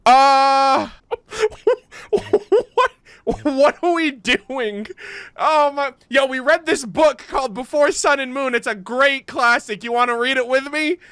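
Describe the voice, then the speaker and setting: A woman streaming a video game with casual, expressive, slangy and sweary reaction commentary. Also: high voice, takes it slowly, no echo. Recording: game music behind the voice.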